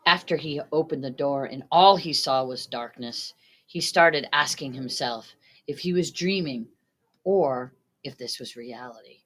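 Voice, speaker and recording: A woman's voice: a bass voice, unhurried. A woman narrating a story from a script, reading it aloud. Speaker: student reader.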